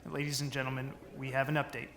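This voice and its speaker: An actor playing an evasive government secretary at a press briefing in a radio drama, formal, guarded and halting. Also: male voice, mid-range voice, monotone, reverberant, a crowd in the background, natural voice. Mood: grave.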